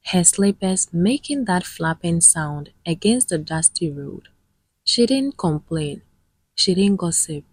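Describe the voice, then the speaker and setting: Her voice is fairly low and husky, and she takes her time. She narrates a scripted folktale with solemn, measured phrasing, leaving dramatic pauses between short clauses. She is a woman storyteller working through her tale line by line. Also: a little mellow, dry recording.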